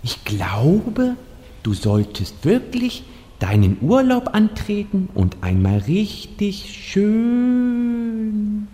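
A woman's voice: mid-range voice, wildly swooping pitch, extremely slow, warm tone, exaggerated voice. A woman playing a cuddly bear companion in a comedic fantasy audio drama, cutesy, chummy and coaxing. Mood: cheerful, comforting. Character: cuddly, consoling fireproof teddy bear companion. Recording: long hall reverb.

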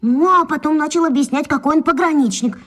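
An inquisitive, soft-hearted little schoolgirl chatters away in a children's radio play. Her voice sits very high and bright with a childlike rush of excitement. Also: fairly quick. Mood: explaining. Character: lively inquisitive schoolgirl Katya, tenderhearted.

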